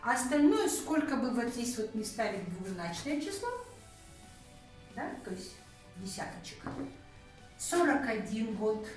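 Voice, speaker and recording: Woman teacher going thru examples slow and patient, sounds far off in a big echoey room. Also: fairly high pitch. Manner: patient, counts out numbers one by one with check-in "да?". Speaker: language teacher. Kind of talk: explaining a grammar point, counting through examples. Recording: very echoey room, voice from a distance, faint music.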